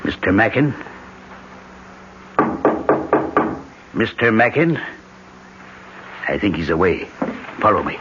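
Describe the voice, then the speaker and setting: An actor playing a sly, bossy Irish gun-runner in a 1970s radio drama, with an Irish accent. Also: male voice, lowish voice, sing-song, moderate pace. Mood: stealthy, commanding. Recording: dull, hissy old radio broadcast.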